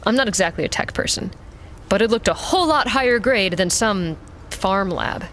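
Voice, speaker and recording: A woman's voice: mid-range voice, animated, moderate pace, fairly bright tone, slight background noise, natural voice. A woman playing a weary, sardonic mercenary pilot in an audio drama, talking in a blunt, casual way. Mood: intrigued.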